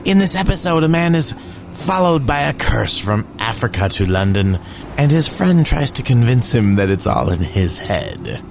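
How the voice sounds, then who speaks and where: A man's voice: medium pitch, big pitch swings, moderate pace, gravelly, noisy background. A man hosting a show of old radio dramas, introducing an episode in a theatrical, teasing way. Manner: theatrical, teasing, sing-song delivery. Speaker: podcast host presenting old radio shows. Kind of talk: spooky playful show introduction. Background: music bed.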